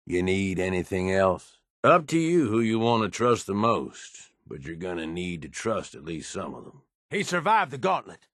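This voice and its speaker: An actor playing a gruff, cynical American raider henchman in a video game, blunt and streetwise but loyal, with a raspy voice. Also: sits low, fairly bright tone, no echo, clean studio sound, heavily acted. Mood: wry, appraising. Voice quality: husky, raspy. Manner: blunt, streetwise, rough drawling slang with swearing. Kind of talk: video game character dialogue.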